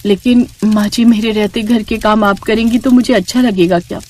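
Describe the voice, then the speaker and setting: A woman voicing a gentle, dutiful young daughter-in-law in a family story, patient and softly reasoning, with self-respect. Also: medium pitch, steady tone, moderate pace, natural voice. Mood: weary, apologetic.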